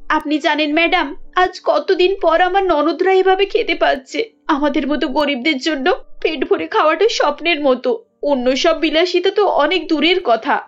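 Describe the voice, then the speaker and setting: A woman's voice: sits high, normal speed, muffled recording. A woman voicing a humble, poor young working woman in a cartoon, earnest, caring and deferential. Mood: sorrowful. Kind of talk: cartoon dialogue.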